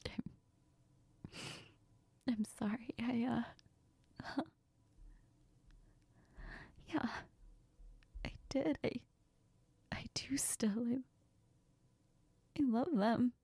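A woman playing a heartbroken young woman in an intimate audio role-play, crying and confiding in a halting, breathy, often whispered voice. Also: fairly high pitch, dark tone, no room sound, full character voice. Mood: tearful, apologetic. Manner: halting, sniffly, tearful. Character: heartbroken, tearful, apologetic young woman confiding in best friend.

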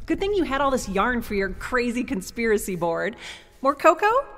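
An actress playing a steady, encouraging and determined woman in an audio drama, with a wry, coaxing manner. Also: on the high side, moderate pace. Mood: wry, curious. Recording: long reverb.

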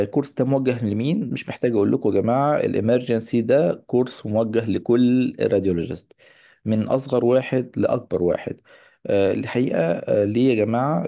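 A man's voice: lowish voice, vocal fry, dark tone. A man with an Egyptian Arabic accent giving an informal course announcement as a lecturer, mixing in English technical terms. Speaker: radiology lecturer. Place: voice-over on a slide presentation.

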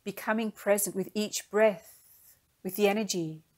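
A woman leading a guided meditation in a gentle, soothing, unhurried manner, speaking in soft phrases. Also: medium pitch, steady tone.

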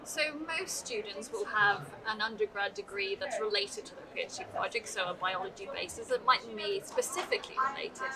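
A woman's voice: medium pitch, very animated, brisk, hardly any pauses. Middle-aged woman, distant, chatter behind. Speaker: head of student programmes. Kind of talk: answering interview questions to camera. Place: large open atrium, indoors.

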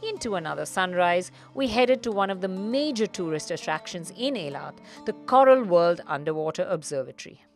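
A middle-aged woman hosts a travel and motoring show, describing what she sees with real enthusiasm. Her voice sits a little low for a woman. Loud background music plays under her narration. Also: expressive, normal speed, hardly any pauses. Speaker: motoring journalist and travel host. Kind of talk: travel vlog narration and on-camera commentary. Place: underwater observatory, then inside a car.

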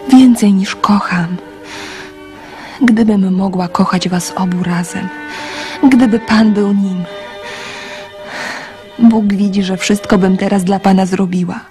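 Actress as a slow, tender, pleading young woman. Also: mid-range voice, natural voice. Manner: soft, earnest, heartfelt. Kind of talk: radio drama acting. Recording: radio drama with music underneath.

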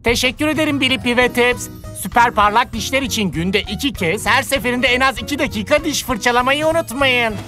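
Cartoon toothbrush coach: higher register, friendly, cheerful, clear step-by-step teaching manner. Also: female voice, brisk, pushed and bright, soft background music. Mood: grateful, instructive. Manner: instructive, jolly, addressing listeners directly. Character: friendly, helpful talking toothbrush who teaches brushing. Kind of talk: children's cartoon dialogue.

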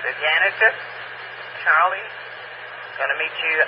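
A man's voice: fairly high pitch, measured pace. A man working as a police dispatcher, reading out call details in procedural style over a two-way radio. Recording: noisy, muffled police scanner radio.

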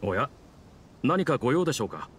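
A man's voice: mid-range voice, very animated, brisk, a little hiss or hum, natural voice. Man acting formal, dutiful samurai guard. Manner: formal, polite, firm. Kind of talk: anime game voice acting.

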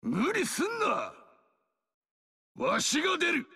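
A boisterous barbarian king roars his boasts and battle cries. His voice is high, gravelly and bright, and it swings wildly up and down. The video game recording is dry and close. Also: huge pitch swings, slow, no echo, full character voice. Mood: brash boasting. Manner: gruff rough-speech shouting battle cries. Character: boisterous burly barbarian king, brash and loud.

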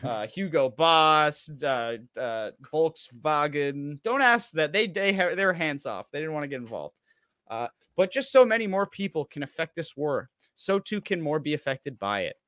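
A man's voice: on the high side, sing-song, normal speed, fairly bright tone, dry recording, studio quality. A man in his thirties co-hosting a casual show, reading aloud and dropping sarcastic, mocking asides. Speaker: show co-host. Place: small room at home, on a video call stream.

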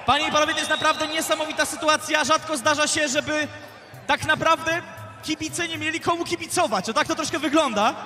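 A man working as a live ring announcer in an arena, projecting theatrically with drawn-out words to hype a fight. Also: very high-pitched, music in the background. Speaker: MMA event ring announcer. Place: fight cage in a large indoor arena. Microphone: handheld microphone.

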